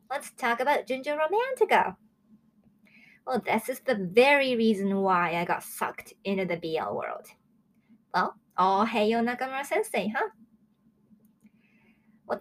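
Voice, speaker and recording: A woman in her early twenties from Japan, hosting a casual solo review show in a chatty, excitable way. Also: a little high. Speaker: host of a manga review show.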